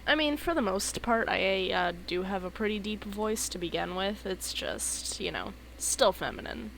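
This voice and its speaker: A woman's voice: medium pitch, lively intonation, moderate pace, gravelly, a bit bright, slight background noise, low-quality recording. A woman streaming a game and chatting casually, sleepy and yawning, who laughs often and swears casually. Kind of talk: casual live-stream chat.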